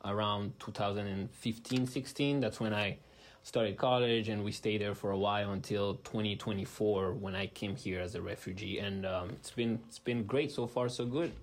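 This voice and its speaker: A young man from the Democratic Republic of the Congo, a rapper, answering interview questions in a relaxed way with many hesitations. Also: fairly low pitch, moderate pace. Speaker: guest, rapper.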